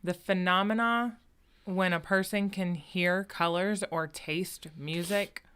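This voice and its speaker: Female co-host with a low but bright, crisp voice, reads trivia, giggles. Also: fairly even intonation, slow. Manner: reads facts aloud, laughs often, swears. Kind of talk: casual live-stream chat.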